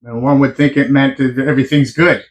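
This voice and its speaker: A man speaks as a guest on a live radio show, sitting in a small studio. His voice is loud and mid-pitched, and he talks in a relaxed, easygoing manner. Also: brisk. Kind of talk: casual radio interview.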